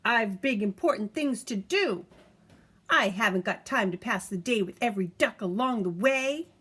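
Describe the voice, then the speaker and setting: A woman voicing a big, self-important male dump truck from a picture book, loud and bossy. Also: medium pitch, lively intonation, moderate pace, slightly rough.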